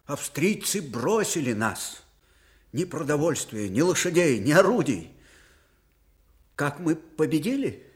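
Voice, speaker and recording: An actor playing a weary, grieving old field marshal in a radio drama, halting and emotive. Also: male voice, high voice. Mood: proud, fervent. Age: older. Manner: halting, emotive, swelling from hushed to fervent. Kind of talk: dramatic radio play acting.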